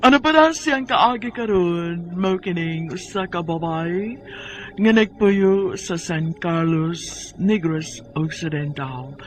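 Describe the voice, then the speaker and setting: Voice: mid-range voice, takes it slowly, a little hiss or hum. An announcer with a Filipino Cebuano accent giving dramatic scripted narration for a radio drama. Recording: music behind the voice.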